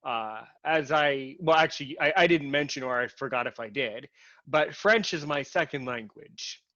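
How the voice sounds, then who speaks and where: A man's voice: mid-range voice, normal speed, sharp tone, no echo. A man hosting a casual conversation, who rambles and restarts his sentences a lot. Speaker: host. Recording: muffled, lo-fi, dry sound.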